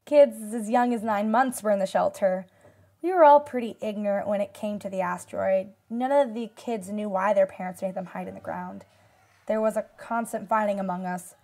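An actress playing a wistful disaster survivor in a radio drama, recalling the past in a measured, reflective way. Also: female voice, medium pitch, warm tone, lightly acted. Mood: matter-of-fact. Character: female asteroid-disaster survivor recalling shelter life, wistful. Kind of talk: radio drama acting as interview testimony.

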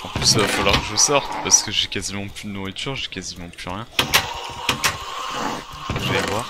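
A man's voice: low voice, normal speed. A man improvising first-person survival roleplay commentary for a game, thinking aloud in a colloquial, hesitant way. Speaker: gamer, roleplay series creator. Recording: very noisy, loud game ambience. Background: loud ambient noise.

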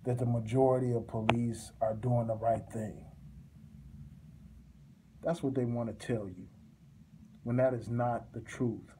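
A middle-aged man delivering an impassioned political monologue to a phone camera in a small room, forceful and repetitive, with a preaching cadence. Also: medium pitch, slight background noise. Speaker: commentator.

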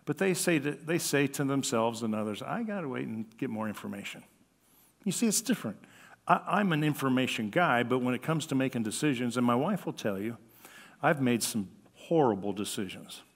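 An older man with an American accent preaches in a conversational way from a church lectern. His voice sits at a middle pitch and rings with the long reverb of the hall. He delivers with animation, slipping into the words of the people he quotes. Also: expressive, moderate pace. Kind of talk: sermon, conversational. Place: church sanctuary at a lectern, indoors.

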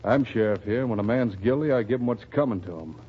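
An actor playing a hard, crooked, curt Western sheriff with an American accent in an old radio drama. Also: male voice, on the low side, expressive, quick pace. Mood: menacing. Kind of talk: 1940s radio Western acting. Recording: dull, husky old radio recording.

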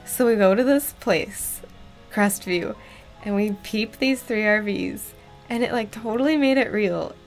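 A woman's voice: mid-range voice, unhurried, music in the background. A young woman co-hosting a casual home podcast, laid-back and giggly, recorded on a webcam mic in a room with a green curtain. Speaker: podcast co-host. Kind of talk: casual chat.

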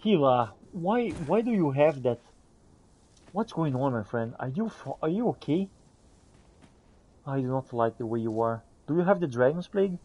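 A man giving expressive, exclamatory in-character gameplay commentary, thinking aloud as he roleplays. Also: a little high, normal speed, slightly rough, dull audio. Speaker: gamer roleplaying as a character in a playthrough.

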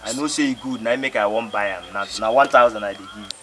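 An actor playing a reluctant customer bargaining hard, with a Nigerian Pidgin accent, in a comedy film. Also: male voice, lowish voice, fast talker, fairly bright tone, natural voice. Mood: haggling. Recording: noisy location sound.

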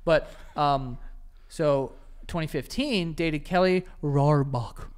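A man's voice: a little high, takes it slowly, clean tone. A man co-hosting a podcast, reading out facts and joking in casual chat. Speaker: podcast co-host.

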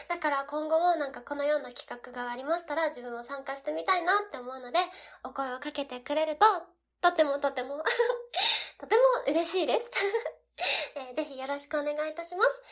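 A young woman giving an informal cast comment, speaking politely with a giggly manner. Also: high-pitched. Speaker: amateur voice drama performer.

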